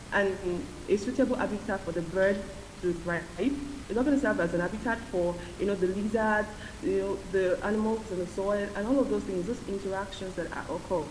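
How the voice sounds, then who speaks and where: A woman's voice: medium pitch, a little mellow. A woman speaking earnestly at a conference panel, explaining her point with many fillers. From Nigeria. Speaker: community respondent. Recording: echoey hall with hiss.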